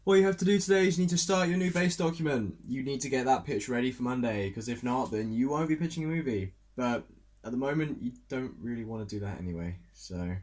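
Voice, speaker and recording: A man's voice: medium pitch, fast, a little mellow. A young British man speaks as a vlogger reflecting on his own life. His delivery is casual and rambling, and he tends to put himself down as he goes. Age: early 20s. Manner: self-deprecating, rambling. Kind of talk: casual personal vlog and reflective narration.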